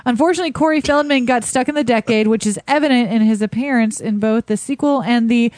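A woman co-hosting a podcast who reads entries from a list in a brisk, wry way. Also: fairly high pitch, a little mellow, dry recording. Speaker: podcast co-host reading a list. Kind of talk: casual chat and reading from a list.

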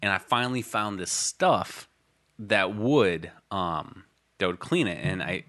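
A man's voice: low voice, expressive, a little slow, a bit bright. A young man in casual chat, telling rambling stories with many restarts. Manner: rambling storyteller, frequent restarts and fillers.

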